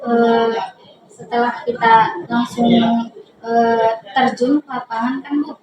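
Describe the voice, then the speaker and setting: A woman's voice: on the high side, steady tone, takes it slowly, lo-fi sound, over music. A woman answers interview questions as a teacher, explaining step by step with some hesitation. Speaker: English teacher being interviewed. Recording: echoey room, distant mic, background noise.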